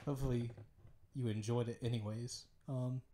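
A man's voice: medium pitch, steady tone, normal speed, warm tone, slight background noise. A man streaming a game, talking with lots of fillers, his voice strained from hours of reading dialogue aloud.

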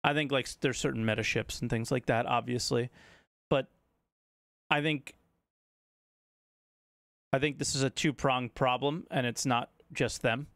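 A man's voice: medium pitch, creaky, a bit bright, no room sound, clean studio sound. A man giving casual, conversational reaction commentary as a streamer, musing as he goes.

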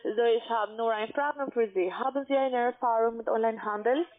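A woman's voice: on the high side, moderate pace. A woman with a foreign accent, not native in German, asking scripted questions as a telesales agent. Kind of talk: scripted sales call questioning. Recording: tinny telephone line.

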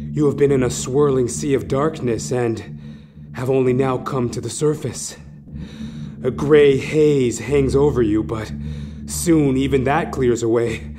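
A man narrating a scripted horror story in a deliberate, dramatic, suspenseful manner. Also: mid-range voice, noisy background. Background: music.

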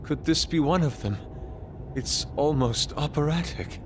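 An actor playing a solemn, eloquent young thief in an anime game, with a formal, grave and theatrical manner. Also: male voice, fairly high pitch, a little slow, background noise, close to an everyday voice.

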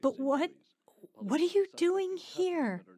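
A woman acting a caring, outspoken sister in an audio drama, expressive and direct. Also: extremely high pitch, slow, heavily acted. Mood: concerned. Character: caring, outspoken sister who challenges her brother.

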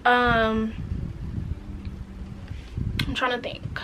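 A raspy-voiced woman in her twenties telling a frank, chatty story-time vlog to camera, full of "like" and "um". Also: on the high side, monotone, very slow, noisy background. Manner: chatty, frank, many "like" and "um" fillers. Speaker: vlogger. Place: indoors, plain light wall, small room. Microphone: camera in front, head and shoulders.

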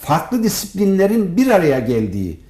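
A man speaking as a professor and interview guest, giving formal, emphatic and deliberate answers. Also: high voice, huge pitch swings, mellow. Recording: roomy echo.

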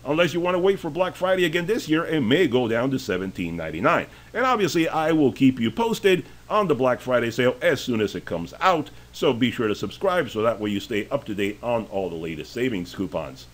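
A man's voice: mid-range voice, big pitch swings, brisk, hardly any pauses. A man talking casually and with strong opinions as he walks through store sale prices, with frequent fillers. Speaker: deal review host. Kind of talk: screen-share sale walkthrough.

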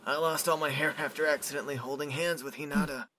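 A man's voice: fairly high pitch, fairly even intonation, fast talker, bright, forceful tone, slight background noise, poor audio. A man voicing a polite, eccentric teenage boy in a comic dub. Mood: cheerfully forlorn.

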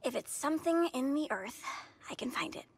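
A woman's voice: high voice, lively intonation, fairly quick. A woman playing an eager young woman with powers in a game, speaking breathily. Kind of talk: video game cutscene acting.

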